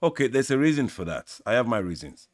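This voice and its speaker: Nigerian man, Yoruba native, sports channel host, mid-pitched voice, dry close sound, measured opinionated talk. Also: big pitch swings, no echo. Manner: opinionated, measured, talks straight to fans. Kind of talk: football opinion talk to camera.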